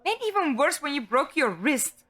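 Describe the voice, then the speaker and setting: A woman's voice: high voice, big pitch swings, moderate pace, fairly bright tone, no echo. A woman as a teasing, lilting young trapeze girl. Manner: playful, mocking. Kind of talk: audio drama acting.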